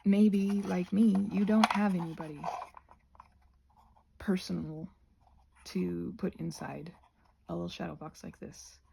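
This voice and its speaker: Very creaky-voiced woman, rambling casually. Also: fairly low pitch, steady tone, noisy background. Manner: halting, digressive, self-interrupting. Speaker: craft and ASMR video creator. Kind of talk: casual rambling talk to viewers while crafting.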